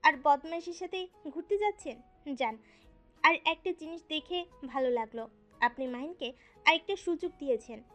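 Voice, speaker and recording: A brash young student lad, voiced by a woman, speaks in a very high voice with slangy, exclamatory chatter. It is an audio drama with music underneath. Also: animated, moderate pace, a bit bright. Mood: chatty.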